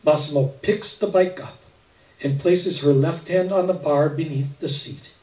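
An older man reading a literary short story aloud at a live event, with measured, expressive narration. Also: a little high. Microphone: stand mic with speakers, recorded by far camera. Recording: echoey room, distant camera pickup.

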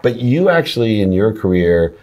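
A middle-aged man hosts an interview at a conference table, his voice sitting low. He asks his questions eagerly and laughs often. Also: very animated, moderate pace, darkish tone. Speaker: host, interviewer. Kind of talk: interview conversation. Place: office conference room.

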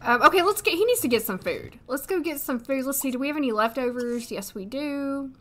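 A woman in her thirties, hoarse today, chatting casually and thinking aloud as she streams a life-sim game from a desk at home. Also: high voice, expressive, fairly quick, a bit bright, slight background noise. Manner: chatty, thinking aloud, frequent little laughs. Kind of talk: casual gameplay commentary. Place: home room, seated at desk.

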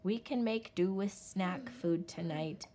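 A gruff father wolf speaks in a stern, unhurried way. He is a firm storybook parent, voiced by a woman reading aloud. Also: fairly low pitch, warm tone. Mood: mild.

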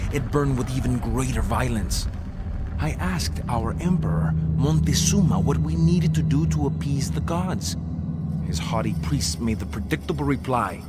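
Man playing solemn Aztec warrior narrator, expressive. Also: mid-range voice, close to an everyday voice. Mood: grave, dramatic. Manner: solemn, measured storytelling. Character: proud Aztec jaguar warrior recounting ominous events. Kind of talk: scripted dramatic game cutscene narration. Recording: music behind the voice.

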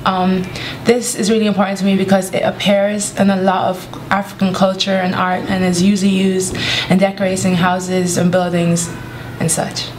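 A woman's voice: mid-range voice, monotone, moderate pace, background noise. Earnest teenage girl explaining. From Nigeria family, lives in Ireland. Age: teens. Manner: earnest, explanatory, some ums. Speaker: student presenter. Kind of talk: explanatory school presentation. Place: school library, indoors.

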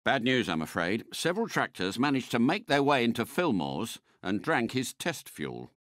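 An actor playing a suave, courteous British spy car in a video game, a polite and unflappable mentor with refined, precise phrasing. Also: male voice, mid-range voice, sing-song, normal speed, a bit bright, no echo, studio quality. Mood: composed, instructive. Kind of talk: scripted game character voice lines.

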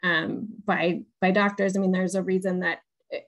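A woman's voice: fairly low pitch, flat delivery, normal speed. A woman, putting questions conversationally as the moderator of a webinar. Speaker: moderator, patient-advocacy research director. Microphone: video call on wired earbuds.

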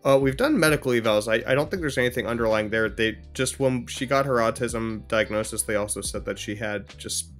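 A man's voice: medium pitch, a little fast, hardly any pauses, a bit bright, soft background music, natural voice. A man playing a cooperative, laid-back father in a casual role-played conversation, speaking informally and agreeably. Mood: mildly concerned.

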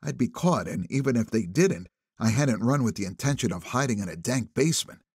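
A man narrating a thriller audiobook in the first person, with expressive, suspenseful phrasing. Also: medium pitch, fairly quick, slightly rough, dry recording, studio quality.

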